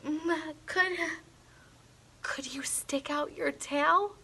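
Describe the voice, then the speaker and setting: A picky little boy from a bedtime storybook, voiced by a woman. The voice is high and bright, with slow, careful wording. He can be nervous, yet speaks up boldly about what he wants. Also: full character voice.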